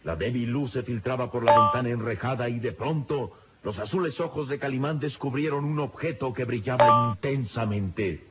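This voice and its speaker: A male narrator tells an adventure serial in old-time radio fashion. He is solemn, emphatic and suspenseful. The sound is dull and lo-fi, with loud music behind him. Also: medium pitch, normal speed, hardly any pauses.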